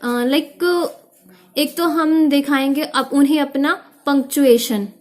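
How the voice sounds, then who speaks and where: A young woman teacher giving a video lesson on speaking skills, explaining steadily and stating short tips slowly. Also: high voice, fairly even intonation, a little mellow. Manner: explains in mixed Hindi and English, reads out English tips slowly. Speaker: IELTS teacher.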